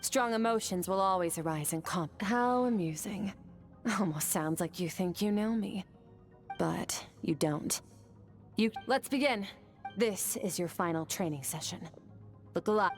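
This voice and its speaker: A woman's voice: medium pitch, unhurried, soft background music, lightly acted. An actress playing an aloof, stern swordswoman mentor in a video game, composed and detached. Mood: cool, challenging. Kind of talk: video game character acting.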